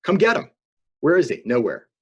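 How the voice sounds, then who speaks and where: A man with a husky voice chatting and joking casually as a panelist on a video call. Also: a little high, huge pitch swings, fairly quick. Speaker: podcast panelist.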